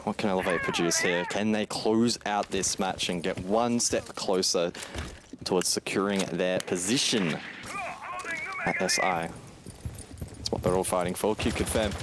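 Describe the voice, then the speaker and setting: Male play-by-play esports caster, very low voice, live match delivery over clear game gunfire and effects. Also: background noise. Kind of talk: live game commentary with banter. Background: video game gunshots and effects.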